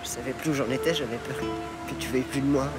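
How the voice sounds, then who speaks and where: An actor playing a frightened, ashamed young man in a soap opera, speaking low and halting. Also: steady tone, over loud music, lightly acted.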